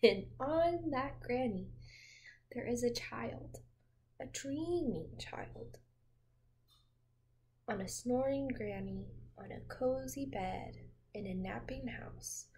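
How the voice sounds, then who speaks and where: A woman in her twenties reading a picture book aloud to young children in a gentle, expressive teacher's manner. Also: on the high side, low-quality recording. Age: 20s. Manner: slow, gentle, expressive, addressing young listeners with questions. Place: small home room with windows, indoors.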